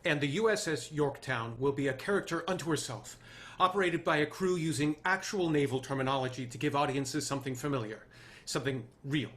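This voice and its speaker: An actor playing an earnest, driven male TV writer, speaking with deliberate emphasis in a screenplay table read. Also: fairly high pitch, fairly bright tone, close to an everyday voice. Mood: stiff, nervous.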